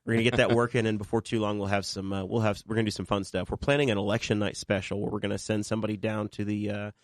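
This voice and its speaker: A man with a cold hosts a casual local podcast, speaking fast in a lowish voice. He rambles and restarts often. The sound is dry and close. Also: level tone, no echo. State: fighting a cold. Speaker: podcast host. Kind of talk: casual chat.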